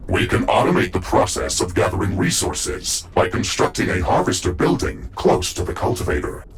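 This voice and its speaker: A man playing a formal, dutiful mech-suit AI in a video game, with a measured, synthetic, robot-processed delivery. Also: deep voice, big pitch swings, slight background noise. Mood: measured, advisory. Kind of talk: scripted video game dialogue. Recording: music bed behind processed voice.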